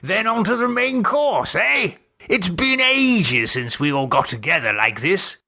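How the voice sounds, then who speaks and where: A man voicing a jovial, excitable upper-class English gentleman in an audiobook, with plummy, exclamatory delivery. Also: high-pitched, a little slow, voice pushed up, full character voice. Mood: hearty, amused. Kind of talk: audiobook character acting.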